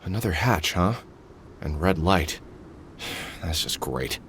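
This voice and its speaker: A man's voice: deep voice, lively intonation, slow pace, fairly bright tone, a little hiss or hum, heavily acted. An actor playing a weary, sardonic middle-aged man who broods and mutters to himself in a video game. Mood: tense, weary. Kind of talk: video game voice acting, brooding inner monologue and dialogue.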